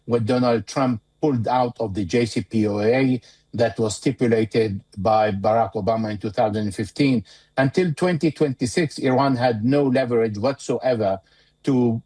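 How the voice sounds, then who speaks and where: A man giving interview commentary as a war analyst, speaking in a measured, deliberate way with pauses mid-phrase. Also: medium pitch, darkish tone, poor audio.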